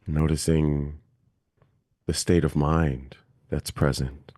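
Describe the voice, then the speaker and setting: A man leading a guided meditation as a teacher, speaking gently and calmly with long, unhurried pauses. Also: rumbling low, no echo, studio quality.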